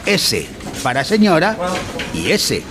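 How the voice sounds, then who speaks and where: A man playing a pompous, didactic lecturer in a satirical educational radio drama, deadpan. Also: a little high, slow, a little hiss or hum, heavily acted. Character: pompous male lecturer of an absurd mock educational course.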